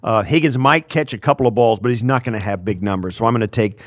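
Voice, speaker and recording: A man's voice: on the low side, fast, no room sound, studio quality. An older man, a former player co-hosting a sports podcast, chatting in an easygoing, self-deprecating way. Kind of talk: casual sports chat.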